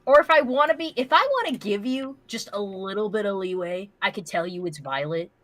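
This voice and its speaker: A woman's voice: mid-range voice, brisk, fairly bright tone, no room sound. A woman co-hosting a livestream in casual banter, blunt, sweary and emphatic. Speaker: livestream co-host.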